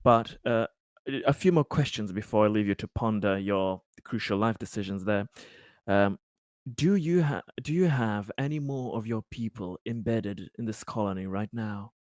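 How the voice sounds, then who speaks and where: A man playing a stern colony leader in a tabletop game, questioning a prisoner in a formal, halting, threatening manner. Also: on the low side, a little mellow, no echo, studio quality, close to an everyday voice. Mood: menacing.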